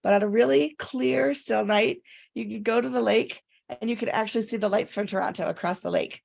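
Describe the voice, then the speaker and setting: A woman co-hosting a casual chat, her voice raspy and congested from recent illness, talking in a rambling way with fillers. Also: a little high, a little fast, a little mellow. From Rochester area, upstate New York. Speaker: podcast co-host.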